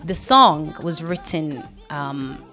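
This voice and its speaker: Low-voiced chatty young London woman. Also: animated, sharp tone, soft background music. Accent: London English. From London, England. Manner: chatty storyteller, quick to laugh, talks through restarts. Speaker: singer being interviewed. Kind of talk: casual interview chat.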